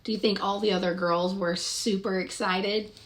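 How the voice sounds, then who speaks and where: A woman reading a picture book aloud to children in an expressive, deliberate way, pausing to ask her young listeners questions. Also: medium pitch.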